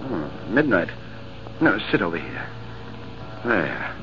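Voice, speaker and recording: An actor playing a proud, hot-tempered army captain in a 1940s radio drama, speaking in an indignant, declamatory way. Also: male voice, low-pitched, sing-song, slow pace, full character voice. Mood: tender. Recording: muffled old radio broadcast with faint hiss.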